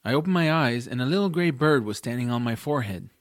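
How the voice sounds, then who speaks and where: A man's voice: medium pitch, lively intonation, fast talker, no room sound, clean studio sound. A man narrating a first-person fantasy audiobook as scripted storytelling.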